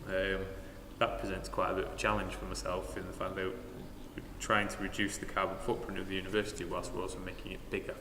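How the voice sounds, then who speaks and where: Deep flat-voiced man in an echoey church. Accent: Northern English. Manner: measured, matter-of-fact, frequent uhs. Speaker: university sustainability manager on a panel. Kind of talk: live panel talk in a church. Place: large parish church. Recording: echoey church hall with noticeable noise.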